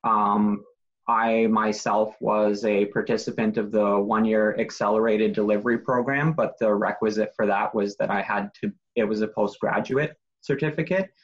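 A man, a paralegal guest on a podcast, explaining his profession methodically with frequent pauses and fillers. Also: lowish voice, fairly even intonation, dull audio. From Canada. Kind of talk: interview answers.

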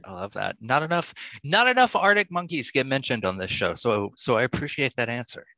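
A man's voice: medium pitch, big pitch swings, brisk, sharp tone, no echo, low-quality recording. A man hosting a casual podcast interview, putting questions to a guest in an encouraging way. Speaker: podcast host. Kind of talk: casual interview, asking quick-fire questions.

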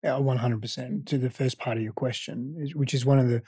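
A man's voice: medium pitch, fairly even intonation, a little fast, mellow, slightly rough, no room sound. A man coach from Australia whose first language is Greek, talking earnestly and repeating words as he thinks. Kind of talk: casual interview chat.

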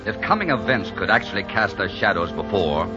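An actor playing a rugged, bold adventurer smitten with love, in dramatic, romantic 1940s radio style. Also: male voice, on the low side, sing-song, brisk. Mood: romantic, wistful. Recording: dull 1940s radio recording with music.

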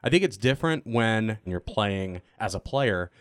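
A man's voice: lowish voice, lively intonation, unhurried, clean tone, no echo, clean studio sound. A man in a casual panel chat, telling anecdotes as a podcast cast member and acting out what people said. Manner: anecdotal, acts out quoted exchanges, teasing.

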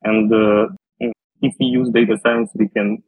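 A man with a Russian accent giving halting, hesitant answers as an interview guest. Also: lowish voice, level tone, darkish tone, breathy edge. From Russia, western Siberia. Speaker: podcast guest, data scientist. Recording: muffled phone-like line, roomy echo.

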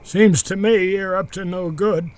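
A man's voice: high-pitched, fairly even intonation, unhurried, darkish tone. An actor playing an annoyed, suspicious old veteran in his eighties or older, grumbling and accusing in an audio drama. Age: 80s or older.

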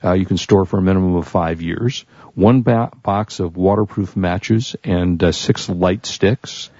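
Male American radio co-host, jokey and teasing, low mellow voice with some gravel. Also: a little slow, dry recording, lo-fi sound. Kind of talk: casual radio banter.

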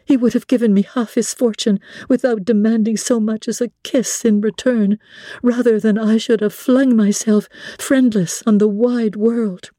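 Dark, mellow woman narrating classic literature. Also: a little high, dry recording, studio quality. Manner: measured, literary, expressive. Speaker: audiobook narrator.

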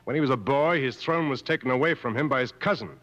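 An actor playing a seasoned, take-charge safari guide, matter-of-fact, in 1950s radio drama style. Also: male voice, medium pitch, fast talker, fairly bright tone, natural voice. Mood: impressed. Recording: muffled old 1950s radio broadcast.

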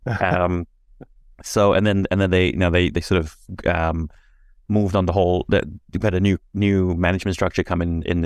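A man from Singapore talking as an interview guest, halting and self-deprecating, laughing at himself. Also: low-pitched, no room sound, studio quality. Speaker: podcast guest. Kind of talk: casual interview conversation.

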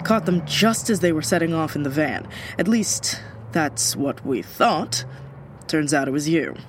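An actor plays a taunting agent in an audio drama. The delivery is gloating and sarcastic, with wide swings in pitch. Noticeable vehicle and street noise runs behind the voice. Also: animated, a little slow, natural voice. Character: smug, taunting agent making an arrest.

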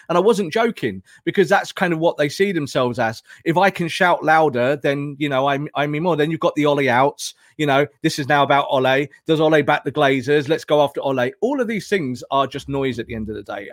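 Man, football podcast pundit, higher-pitched voice, dry close sound, emphatic, opinionated, rhetorical delivery. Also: no echo. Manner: emphatic, rhetorical questions and repetition. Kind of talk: impassioned opinionated discussion.

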